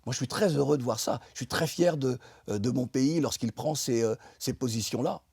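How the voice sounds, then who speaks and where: Emphatic mellow-voiced man, slightly husky. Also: medium pitch, no echo, studio quality. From France. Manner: emphatic, many pauses and restarts. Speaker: interview guest. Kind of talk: impassioned political interview talk.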